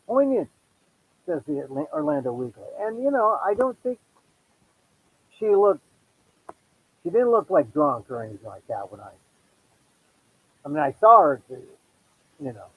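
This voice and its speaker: Husky, lively middle-aged host with a Filipino American accent, chatty solo talk-show monologue. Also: male voice, fairly high pitch, rough recording. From United States. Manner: chatty, self-deprecating, quick asides and restarts. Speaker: podcast host. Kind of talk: casual solo monologue promoting his show.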